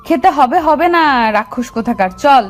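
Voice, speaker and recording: High-pitched actress as young woman, bossy and coy, teasing romance-story delivery, music underneath. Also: animated, moderate pace. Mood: annoyed. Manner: scolding, commanding, coy. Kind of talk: Bengali romantic audio story acting.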